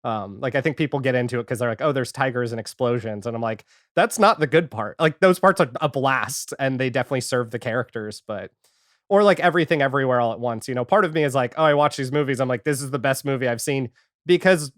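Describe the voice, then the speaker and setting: A man's voice: medium pitch, fast talker, a bit bright, dry recording, clean studio sound. A man chatting casually as a podcast guest, enthusiastic and rambling, with lots of filler 'like'. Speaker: podcast guest, audio fiction creator. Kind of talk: casual chat.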